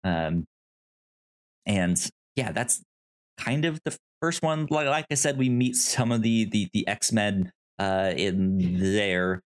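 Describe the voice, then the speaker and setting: A man with a fairly low voice hosts a casual film podcast. He speaks very slowly and haltingly, often stammering over the start of a word before getting through it. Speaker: podcast host. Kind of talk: casual movie discussion recap.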